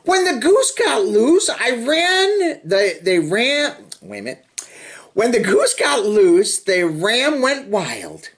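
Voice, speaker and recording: Slow, very animated man reading a storybook. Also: very high voice. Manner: sing-song, expressive, drawn-out phrasing. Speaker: children's picture book reader. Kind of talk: animated storybook read-aloud with chatty asides.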